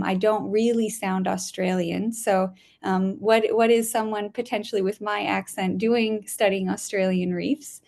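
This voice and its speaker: A woman's voice: mid-range voice, gravelly, darkish tone. A woman from Spain who works as a scientist, chatting as a guest over a video call, with many ums, restarts and frequent laughter.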